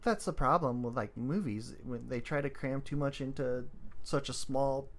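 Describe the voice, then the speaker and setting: A man's voice: mid-range voice, moderate pace. A man in casual conversation as a podcast co-host, speaking informally and colloquially.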